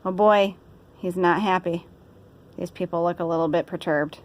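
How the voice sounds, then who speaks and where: A woman's voice: on the low side, steady tone, normal speed. A woman reading a children's story aloud, playfully, with chatty asides. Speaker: storybook reader. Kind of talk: animated children's story narration.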